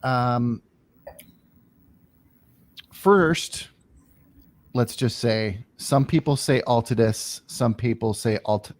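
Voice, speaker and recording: A man hosts an interview at an unhurried, drawn-out pace. His voice sits at a middle pitch, and he asks his questions in a relaxed, conversational way. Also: no room sound. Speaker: host.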